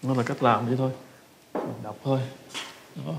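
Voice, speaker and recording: A man with a Southern Vietnamese accent explains software in an offhand, improvised way. His voice is gravelly and stays quite monotone, with repeated phrases as he goes. A faint noise sits behind the screen recording. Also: mid-range voice. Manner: improvised, halting, repetitive step-by-step explaining. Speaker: tutorial instructor.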